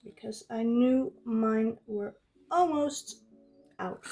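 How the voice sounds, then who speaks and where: A woman's voice: fairly high pitch, very slow, warm tone, faint music behind. A woman from the Netherlands with a Dutch accent, chatting informally in English as a non-native speaker while narrating a craft vlog. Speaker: craft vlogger. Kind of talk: casual narration while journaling.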